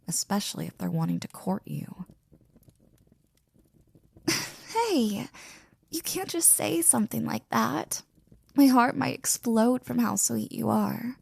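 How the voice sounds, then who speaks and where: A woman playing a devoted, flirty and jealous maid in an intimate ASMR roleplay, speaking softly and close to a whisper with teasing, courtly wording. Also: medium pitch, dry recording, exaggerated voice. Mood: smitten. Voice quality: soft, breathy, often near whispering. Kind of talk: ASMR roleplay, intimate one-sided scene.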